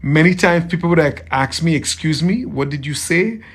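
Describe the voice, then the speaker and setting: A man's voice: on the high side, expressive, normal speed, fairly bright tone, breathy edge. Trinidadian man chatting on a livestream. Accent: Trinidadian, softened toward American. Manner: friendly, repeats phrases, greets viewers by name. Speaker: tailor hosting a livestream. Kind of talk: casual livestream chat.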